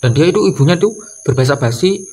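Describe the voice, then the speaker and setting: Exasperated young man, halting. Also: on the high side, animated, a little mellow, slightly rough. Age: early 20s. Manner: exasperated, halting, repeats phrases, slips in English swearing. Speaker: video creator. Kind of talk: casual comedic rant to camera. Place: doorway at home by a wooden door. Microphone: camera or phone close in front.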